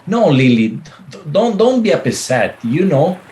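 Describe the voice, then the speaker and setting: A man playing a melodramatic philandering husband in a radio play, pleading and lamenting haltingly. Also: on the high side, very animated, warm tone. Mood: flustered, pleading. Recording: roomy, faint background noise.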